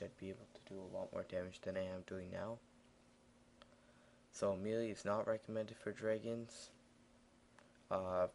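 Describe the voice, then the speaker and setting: Brief: tired, raspy male gaming narrator, low gravelly flat voice, rambling casual voiceover, faint hiss behind. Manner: rambling, with many fillers and restarts.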